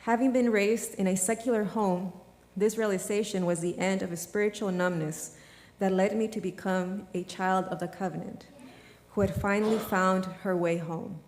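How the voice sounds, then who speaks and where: A woman delivers a measured, earnest testimony from the front of a large sanctuary. Her mid-pitched voice rings out with a long reverb tail from the hall. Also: fairly even intonation, darkish tone. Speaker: congregant giving a testimony. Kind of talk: prepared speech at a service.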